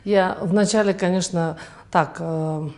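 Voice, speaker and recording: Low, warm-voiced woman doctor. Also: fairly even intonation. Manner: unhurried, many hesitation sounds. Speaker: fertility doctor, guest. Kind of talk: conversational interview answers.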